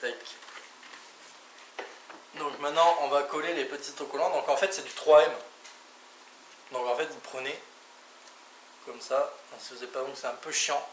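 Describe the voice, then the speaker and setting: A man's voice: fairly high pitch, fairly even intonation, moderate pace, long pauses. A man giving a casual hands-on product tutorial, informally talking through each step with little interjections. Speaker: motorcycle vlogger presenting gear. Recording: echoey, distant mic, clearly noisy background.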